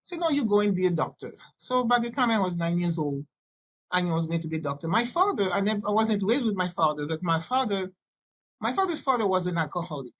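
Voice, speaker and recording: A woman in her sixties from Haiti, a native French and Creole speaker with a Haitian accent, telling personal stories in a halting, digressive way as a podcast guest. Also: lowish voice, expressive, slightly rough. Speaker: podcast guest, doctor and healer. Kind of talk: conversational personal storytelling.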